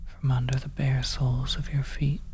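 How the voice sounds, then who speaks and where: A person whispering a second-person horror story in ASMR style, breathy and deliberate, leaving long pauses between phrases. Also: mid-range voice, little pitch movement, background noise. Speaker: audio roleplay storyteller. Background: oppressive ambience.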